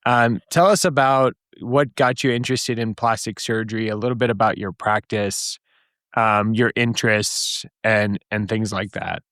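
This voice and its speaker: A man with a fairly low voice hosts a casual interview podcast. The recording is dry and close, with little sense of a room. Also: steady tone, moderate pace, a bit bright, dry recording. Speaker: podcast host.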